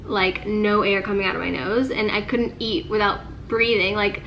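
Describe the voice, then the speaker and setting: A woman's voice: mid-range voice, fairly even intonation, normal speed, highs cut off, a little hiss or hum. Congested, nasal-sounding young woman vlogging. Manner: chatty, sprinkles speech with "like". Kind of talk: casual chat to camera.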